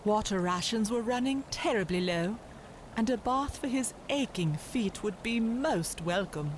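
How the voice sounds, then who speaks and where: A woman reading scripted storybook narration in a literary, expressive storytelling manner. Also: mid-range voice, unhurried, slight background noise, rough recording. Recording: music underneath.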